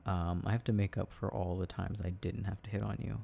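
A young man with a lowish, gravelly voice plays an affectionate, teasing boyfriend. He talks in an unhurried, mellow way, casual and intimate, as if improvising to one listener. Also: little pitch movement, a little hiss or hum. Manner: giggly, playful, affectionate, halting. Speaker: boyfriend roleplay audio performer.

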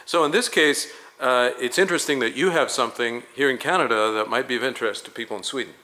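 A man interviewing a guest for a promotional video, asking polished, leading questions at a measured pace. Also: medium pitch, pushed and bright.

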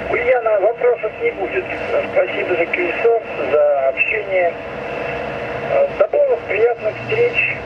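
A man's voice: high voice, animated, measured pace. A man working as an amateur radio operator, speaking courteously in formulaic phrases and spelled-out callsigns over a two-way radio. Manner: courteous, formulaic well-wishing. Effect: two-way radio transmission. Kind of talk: ham radio contact, spelling out callsigns phonetically. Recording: muffled, very noisy ham radio reception.

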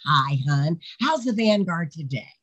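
Woman acting a brassy, husky secretary, lively. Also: low voice, a little slow, dull audio, exaggerated voice. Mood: chatty, wry. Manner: folksy, sardonic, calls people hon and sweetie. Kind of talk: online play reading. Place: indoors on a video call. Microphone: video call microphone.

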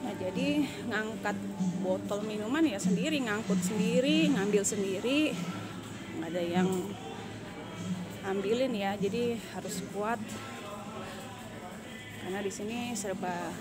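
A woman's voice: mid-range voice, unhurried, long pauses, loud music behind the voice. A woman talking casually to viewers while she shops, thinking aloud with hums and fillers as she films on a phone in a large supermarket. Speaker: vlogger. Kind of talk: casual vlog narration while shopping. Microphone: phone camera held while pushing a cart. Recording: loud store noise behind the voice.

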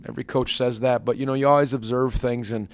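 A man in his forties, a basketball head coach answering questions at a postgame press conference into a table microphone, measured and earnest with many fillers and restarts. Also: medium pitch, steady tone. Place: press conference room with sponsor backdrop.

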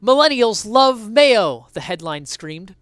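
A man's voice: sits high, very animated, slow, fairly bright tone, smooth, no room sound, clean studio sound. A young man giving a dramatic reading of an essay in a theatrical, mock-indignant, emphatic manner on a headset mic. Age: young adult. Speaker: streamer reading an article aloud. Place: small indoor room at home.

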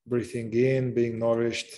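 A man leading a guided meditation, speaking gently in short phrases with long pauses between them. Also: medium pitch, level tone. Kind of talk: slow guided meditation narration.

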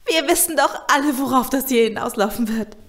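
Brief: actress as anxious captive woman, high voice, audio drama. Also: a little fast. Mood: worried. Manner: worried, restless. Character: Laura, anxious young woman trapped in a cell. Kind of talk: amateur audio drama acting.

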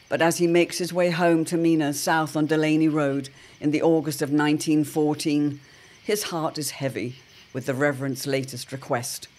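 A man's voice: a little high, a little mellow. A man narrating a scripted serial drama in a theatrical, portentous manner.

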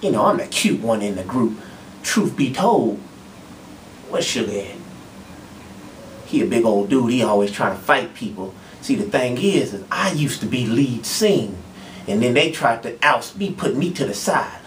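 A man's voice: medium pitch, sing-song, moderate pace, slight background noise, lightly acted. A man playing a vain backup singer in a soul group who brags in drawling slang, recorded from a distance in an echoey church room. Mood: boastful. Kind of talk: comedy sketch acting. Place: church building indoors.

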